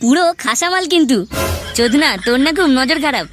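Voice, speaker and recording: A man's voice: extremely high pitch, lively intonation, a little fast, noisy background. A man voicing a crude, teasing young village lad with a West Bengal accent in a comic cartoon. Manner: foul-mouthed, mocking banter.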